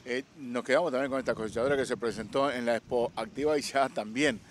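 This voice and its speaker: A man with a Uruguayan Spanish accent, a reporter interviewing a dealer outdoors at a farm fair, prompting with brisk questions. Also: mid-range voice, steady tone, a little hiss or hum. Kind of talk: field interview.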